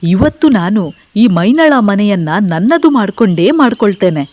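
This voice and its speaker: A woman's voice: mid-range voice, sing-song, normal speed, a little mellow. A woman voicing a scheming male crow in a children's cartoon story, with a sly, wheedling, exaggerated delivery. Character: scheming male crow after the myna's house. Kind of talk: animated children's bedtime story.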